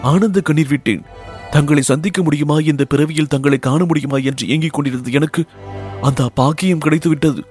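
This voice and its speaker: A man's voice: a little high, steady tone, brisk, a little mellow, faint music behind, pitched above the performer's own voice, close to an everyday voice. A man voicing a devoted, humble woman temple dancer, speaking in earnest, poetic and pleading lines for an audiobook. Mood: devoted, reverent.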